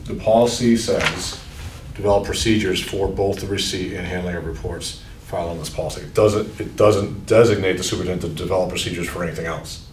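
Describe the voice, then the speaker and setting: Low-voiced man speaking from across a room. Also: fairly quick, a little hiss or hum. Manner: hesitant, thinking aloud with restarts. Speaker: school superintendent. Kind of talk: board meeting discussion. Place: meeting room. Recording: echoey room, distant mic.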